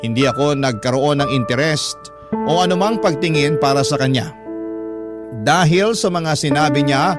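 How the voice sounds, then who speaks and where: Filipino male narrator played by an actor, slightly high voice, regretful confessional radio storytelling under prominent music. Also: normal speed, natural voice. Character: regretful man recounting a broken youthful friendship. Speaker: letter sender narrator. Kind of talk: first-person dramatic storytelling, radio drama. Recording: loud music bed under voice.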